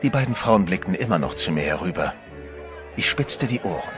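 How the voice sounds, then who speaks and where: A man's voice: deep voice, very animated, a little fast. An actor playing a composed adventurer who narrates his own story in a horror radio play. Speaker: first-person narrator. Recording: music bed under the voice.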